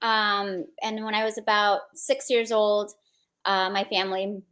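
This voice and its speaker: A woman's voice: mid-range voice, little pitch movement, unhurried, fairly bright tone. A woman with an American accent, speaking as an interview guest and casually telling personal stories. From born in India, grew up in the United States.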